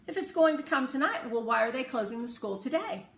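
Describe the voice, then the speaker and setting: A woman's voice: high-pitched, animated, brisk, a bit bright, voice pushed up. A woman voicing a literal-minded, anxious schoolgirl in a storybook reading, blurting things out in a precise, insistent way. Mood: puzzled. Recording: roomy echo, faint background noise.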